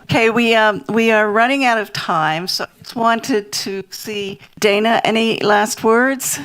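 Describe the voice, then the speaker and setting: A woman moderating a panel, who moves it along briskly with a light touch. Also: on the high side, fairly bright tone, clear, resonant tone, poor audio.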